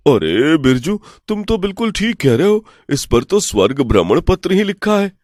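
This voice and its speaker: Credulous greedy temple priest voiced by a man, animated swings in pitch, slightly high, excitable, cartoon folk tale. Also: moderate pace, dry recording, studio quality. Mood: amazed, credulous.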